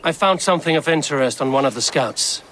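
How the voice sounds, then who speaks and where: An actor playing a bold, determined young Viking leader in a video game, resolute and formal in speech. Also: male voice, on the high side, animated, fast talker, a bit bright, slight background noise, poor audio. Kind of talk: dramatic video game acting.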